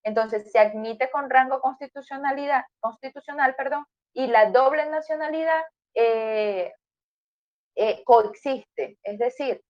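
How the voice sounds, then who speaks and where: A woman's voice: sits high, unhurried. Venezuelan woman lecturing over lo-fi voice chat. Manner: explanatory, addresses students directly with everyday examples. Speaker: university lecturer. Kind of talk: online class lecture. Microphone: voice chat on a phone or computer. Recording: dull lo-fi voice chat audio.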